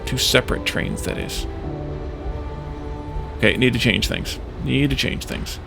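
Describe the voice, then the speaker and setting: A man's voice: medium pitch, lively intonation, measured pace, gravelly. A man giving casual gameplay commentary, thinking aloud in a musing, tentative way as he hosts a let's play. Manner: musing, tentative, talking to himself. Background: music behind the voice.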